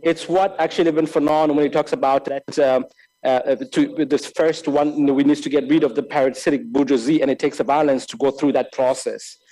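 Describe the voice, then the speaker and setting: A man from Lesotho talks slowly through his ideas in a lecture hall, his voice mellow and a touch higher than most men's. He stumbles over repeated words and restarts often as he searches for the right phrasing, speaking into a desk microphone. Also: steady tone. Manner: halting, many repeated words and restarts, gestures with hands. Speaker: filmmaker giving a master class. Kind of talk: reflective spoken lecture. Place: large bright hall with tall windows. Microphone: desk microphone on a stand.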